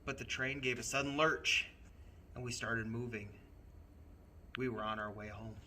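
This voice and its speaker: Bright-voiced man reading a children's storybook. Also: medium pitch, fairly even intonation, a little hiss or hum. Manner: theatrical, deliberate, with dramatic pauses. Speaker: storyteller playing a train conductor. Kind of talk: expressive children's storybook narration.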